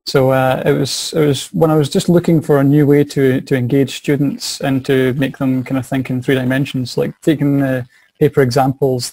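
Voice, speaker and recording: A man's voice: medium pitch, a little mellow, no room sound, rough recording. A young Scottish man, a science and maths teacher, answering interview questions over a video call from a small office, eager and repeating words as he thinks. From Scotland. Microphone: video call webcam.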